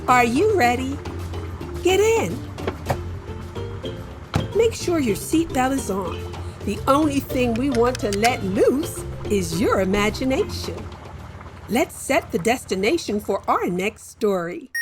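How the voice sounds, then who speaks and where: A woman hosting a children's story show, telling a folktale with a lively, playful delivery and drawn-out phrasing. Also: fairly high pitch. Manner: warm animated storyteller with slow deliberate pacing. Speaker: storyteller host. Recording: soft music bed behind.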